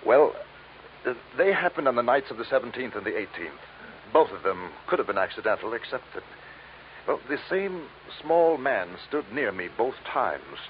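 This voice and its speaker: An actor playing an anxious, educated archaeologist in an old radio drama, polite and evasive with a hesitant stammer. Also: male voice, medium pitch, sing-song, moderate pace, natural voice. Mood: defensive, uneasy. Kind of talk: 1940s-50s radio drama acting. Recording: dull, noisy old radio recording.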